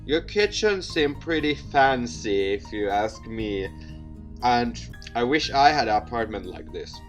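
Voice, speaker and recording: Theatrical let's-play narrator, unhurried pace. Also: medium pitch, animated, soft background music. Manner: stumbles over words and repeats phrases while reading. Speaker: video game streamer reading a game aloud.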